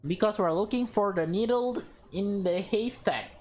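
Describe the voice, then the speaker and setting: A woman's voice: medium pitch, moderate pace, darkish tone, soft background music, natural voice. Playful, bossy fashion-loving girl read by a woman. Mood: picky.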